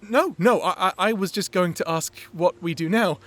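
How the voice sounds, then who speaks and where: A man's voice: high voice, moderate pace, a bit bright. A man playing a shy, flustered young man in love, stammering and hesitant in a dramatic fantasy audio roleplay. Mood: giddy, flustered.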